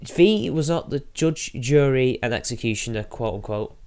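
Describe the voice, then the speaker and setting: Casual male streamer, mid-pitched, a bit sniffly, rambling commentary to chat. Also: lively intonation, moderate pace, smooth. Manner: rambling, talks to chat while fiddling with software. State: sniffly from allergies.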